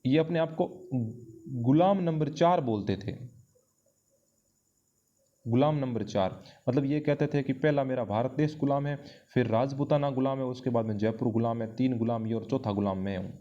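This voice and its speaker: A man's voice: medium pitch, normal speed, dark tone. A man teaching an exam-prep lesson, explaining and repeating key phrases for emphasis, recorded on a dull lo-fi microphone. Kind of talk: history lesson going through quiz questions.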